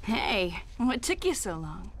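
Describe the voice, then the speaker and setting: Flirty thrill-seeking thief, lively swooping delivery. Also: female voice, medium pitch, takes it slowly, a little hiss or hum. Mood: teasing. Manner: teasing, sultry, taunting. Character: flirty, teasing female thief, carefree thrill-seeker. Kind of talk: video game voice acting.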